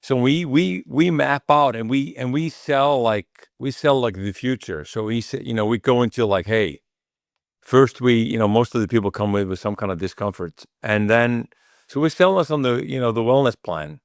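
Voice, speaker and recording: A man's voice: medium pitch, normal speed, vocal fry, dry recording. Icelandic man chatting informally, close dry sound. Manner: informal, rambling, acts out quoted remarks. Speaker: interview guest, chiropractic clinic owner. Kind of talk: casual conversational interview answers.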